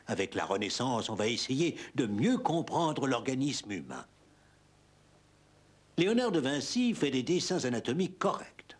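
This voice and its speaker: A man reading scripted narration for an educational history cartoon, with a husky voice. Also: mid-range voice. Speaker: documentary narrator.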